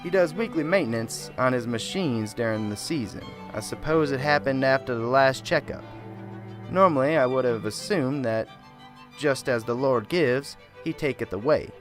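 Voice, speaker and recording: A man playing a gentle, wordy small-town reverend. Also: mid-range voice, normal speed, soft background music, lightly acted. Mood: solemn. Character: soft-spoken small-town male reverend, earnest, rambling. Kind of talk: amateur radio drama.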